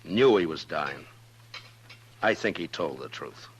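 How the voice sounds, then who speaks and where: Casting: deep, deliberate, weary frontier lawman, grave and sparing with words, old radio drama. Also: lively intonation. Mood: accusing, firm. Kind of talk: 1950s radio Western acting. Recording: noisy old radio recording.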